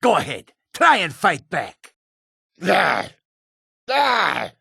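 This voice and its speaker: An actor playing a rough, greedy cat-folk bandit in a fantasy video game, with coarse, clipped, grumbling speech. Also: male voice, high-pitched, wildly swooping pitch, very slow, pushed and bright, dry recording, full character voice. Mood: aggressive, taunting.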